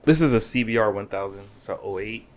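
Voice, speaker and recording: A man co-hosting a podcast, chatting casually with friends and teasing them deadpan. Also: on the low side, brisk. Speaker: podcast co-host. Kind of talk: casual chat.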